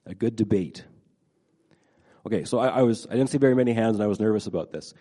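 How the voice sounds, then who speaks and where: A middle-aged pastor preaching a casual sermon, a little nasal from a head cold, halting and repeating words with many fillers. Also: male voice, lowish voice, warm tone.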